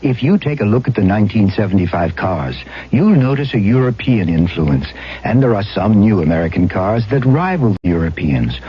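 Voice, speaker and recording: A man with a husky, rough voice reading a 1970s radio car advertisement as an announcer. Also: fairly low pitch. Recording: dull old radio recording.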